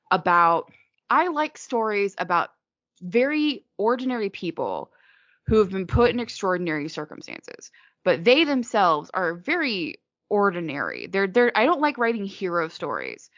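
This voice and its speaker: Chatty woman with a low, dry voice. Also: fairly bright tone, no room sound. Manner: rambling, frequent "like" and restarts. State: hiccuping. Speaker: interviewed author. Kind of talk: casual interview answers.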